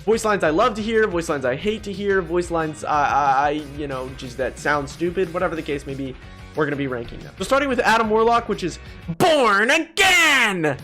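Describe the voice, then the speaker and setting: Animated high-voiced young guy. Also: normal speed, soft background music. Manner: animated, joking, mildly profane. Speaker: gaming video creator. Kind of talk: casual opinionated ranking commentary.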